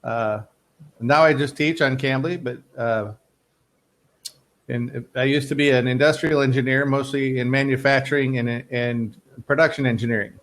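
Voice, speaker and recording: Easygoing American tutor. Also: male voice, medium pitch, fairly even intonation, normal speed, a bit bright. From United States. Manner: friendly, easygoing, rephrases simply. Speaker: English tutor. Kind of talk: casual online tutoring chat. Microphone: video call.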